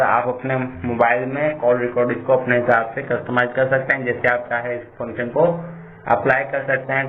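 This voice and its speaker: A man hosting a tutorial, explaining steps in a repetitive, instructional way. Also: mid-range voice. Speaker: tutorial host. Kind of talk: step-by-step phone tutorial narration. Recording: tinny phone-like audio, background noise.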